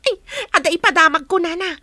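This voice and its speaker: An excitable, pleading village woman in a radio play. Her Ilocano-accented voice is extremely high and piercing, recorded close and dry. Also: brisk, no room sound. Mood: agitated. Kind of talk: radio comedy-drama acting.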